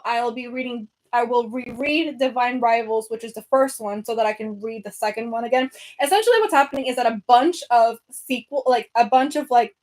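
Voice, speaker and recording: A young woman with a Venezuelan accent talks to her viewers in a loose, rambling way, full of fillers and restarts. Her voice sits a bit high for a woman. She is at home, sorting books on her shelves as she talks. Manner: chatty, rambling, lots of fillers. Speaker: book vlogger. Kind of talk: casual chat with live viewers.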